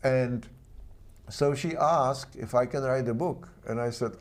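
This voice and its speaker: Czech-accented male guest, earnest storyteller, non-native phrasing, average pitch. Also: measured pace. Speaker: guest author. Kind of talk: interview storytelling.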